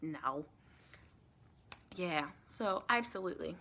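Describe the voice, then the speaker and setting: An American woman playing a sweet, reassuring vampire in a chatty, rambling ASMR roleplay, with a creaky voice. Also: on the low side, expressive, slow pace, sharp tone, a little hiss or hum, heavily acted. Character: sweet, reassuring female vampire welcoming a new recruit.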